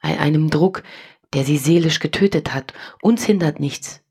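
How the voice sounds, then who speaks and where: A solemn woman reads a formal letter in a low, husky voice with plenty of creak. Her delivery is measured, grave and remorseful, in the manner of a radio play. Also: dark tone, full character voice. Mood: grave, confessional. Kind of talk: dramatic letter reading in radio drama.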